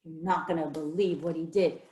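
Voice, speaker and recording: A woman's voice: low voice, steady tone, normal speed, mellow, muffled recording. A woman storyteller performing a folktale read-aloud for children, addressing listeners directly with questions and prompts to join in. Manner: interactive, asking listeners questions and inviting repetition. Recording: roomy, echoing sound.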